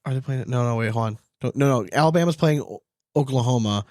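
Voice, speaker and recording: A man's voice: mid-range voice, quick pace, a little mellow, dry recording, clean studio sound. A man co-hosting a casual sports talk show, ranting with strong opinions and swearing freely. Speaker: podcast co-host, former team coach.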